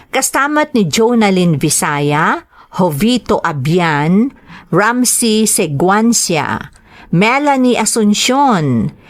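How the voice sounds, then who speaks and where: A woman's voice: mid-range voice, no room sound. A woman with an Ilocano accent hosting a drama programme, reading out greetings and listener letters.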